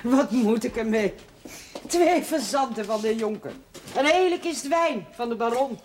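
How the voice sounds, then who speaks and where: An actress playing a bossy but loyal housekeeper in a television drama, speaking bluntly and matter-of-factly. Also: female voice, on the high side, animated, measured pace, a little hiss or hum. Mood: fussing. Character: plain-spoken, bossy, loyal housekeeper woman.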